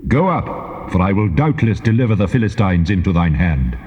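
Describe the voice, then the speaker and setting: An actor playing the Lord God in a dramatized scripture, grave, measured and commanding. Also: male voice, deep voice, big pitch swings, darkish tone, a little hiss or hum. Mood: solemn command. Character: the Lord God, solemn male voice of divine command. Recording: long hall reverb, dull tape sound.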